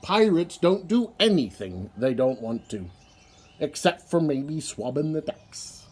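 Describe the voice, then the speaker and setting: A man reading a children's picture book aloud in an expressive storytelling style. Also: fairly high pitch, measured pace, a little hiss or hum.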